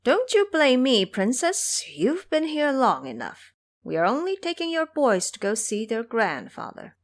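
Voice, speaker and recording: A woman's voice: fairly high pitch, wildly swooping pitch, unhurried, voice pushed up. A woman voicing a cocky, teasing male monkey king in an audio drama, boastful, preachy and theatrical in manner.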